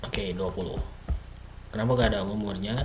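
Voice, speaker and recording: A man's voice: on the low side, steady tone, moderate pace, a little hiss or hum. A man teaching basic coding in a casual screen-recorded lesson, thinking aloud with many fillers and self-corrections. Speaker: self-taught coding tutor.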